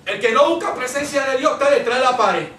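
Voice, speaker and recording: High-voiced Puerto Rican man preaching, echoey. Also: lively intonation, fast talker, fairly bright tone. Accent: Puerto Rican Spanish. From Puerto Rico. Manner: emphatic, repeats phrases, asks the congregation rhetorical questions. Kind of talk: Pentecostal Bible-study sermon. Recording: roomy echo, distant microphone.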